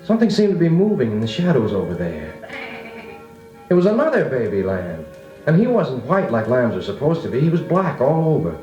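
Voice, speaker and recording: A man's voice: medium pitch, wildly swooping pitch, a little fast, mellow, highs cut off. A man playing a grown-up recalling his farm boyhood, narrating in a folksy, nostalgic American voice like an old radio drama. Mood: building wonder. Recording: echoey vintage record with music.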